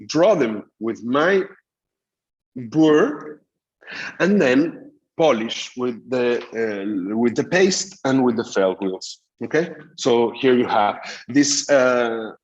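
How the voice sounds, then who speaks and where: Halting middle-aged man teaching a class. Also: mid-range voice, animated, highs cut off. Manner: explanatory, halting with frequent fillers. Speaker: dental lecturer. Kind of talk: recorded master class lecture.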